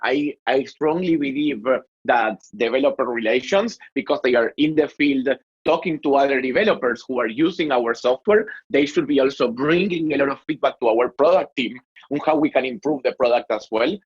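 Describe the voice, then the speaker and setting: Argentine guy on a podcast, kinda husky voice, explains stuff loose and casual, slightly lo-fi. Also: medium pitch, normal speed. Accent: Argentine Spanish. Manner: relaxed, self-explaining, frequent "um" and "so". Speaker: guest, marketing executive. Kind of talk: podcast interview.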